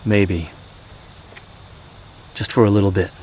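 Audio drama soldier dad: weary, haunted, gentle, lowish voice, halting delivery, night-insect noise behind. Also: male voice, steady tone. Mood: haunted, hesitant. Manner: halting, confiding, subdued. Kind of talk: naturalistic audio drama acting.

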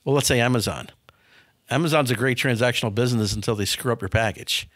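A man's voice: mid-range voice, fast, fairly bright tone, no echo. A man in his fifties, a company founder, telling candid business stories in a studio interview, often repeating words as he starts a thought. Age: 50s. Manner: frank, anecdotal, repeats words when starting. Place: dark studio set. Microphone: studio boom mic.

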